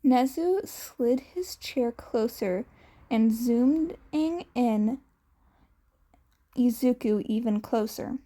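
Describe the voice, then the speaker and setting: A woman's voice: on the high side, vocal fry, mellow. A woman narrating a scripted story as a podfic reader, occasionally stumbling over the script.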